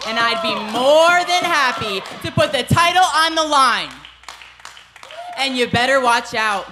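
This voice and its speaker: A woman's voice: high voice, animated, measured pace, a crowd in the background. A woman in her twenties, a wrestling champion, speaking proudly and defiantly in a promo interview. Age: 20s. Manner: proud, defiant, trash-talking. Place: wrestling arena. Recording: echoey arena with background noise.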